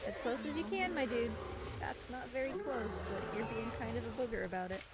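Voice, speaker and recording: A woman's voice: fairly high pitch, fairly quick. A woman giving live gameplay commentary as a speedrunner, with playful, coaxing asides to a game character. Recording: clear background game noise.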